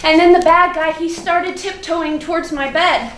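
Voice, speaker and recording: Woman playing a high-pitched, childlike little girl. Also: fairly even intonation, a bit bright. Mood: frightened. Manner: childlike, halting, repeats words for emphasis. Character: innocent, chatty, fearful little first-grade girl. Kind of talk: theatrical monologue at a staged reading. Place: indoor room at a live staged reading. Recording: roomy, distant, noisy live stage recording.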